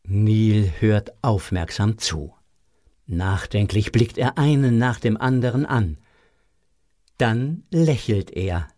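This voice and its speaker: A man narrating a children's audio drama in a measured storytelling manner. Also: on the low side, expressive, a little mellow, dry recording.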